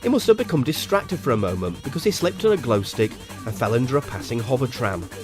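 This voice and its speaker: A man's voice: medium pitch, very animated, fast talker, soft background music. A man from Halifax telling an absurd comic story in a dry, mock-serious way. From Halifax, West Yorkshire. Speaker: podcast host. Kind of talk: scripted absurd comic narration.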